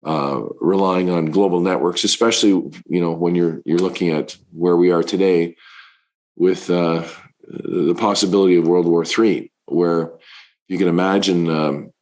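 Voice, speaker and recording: A middle-aged man, a fund manager, answering interview questions in a halting way with many fillers, his voice deepened by illness. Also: fairly even intonation, darkish tone. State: recovering from covid, voice deepened.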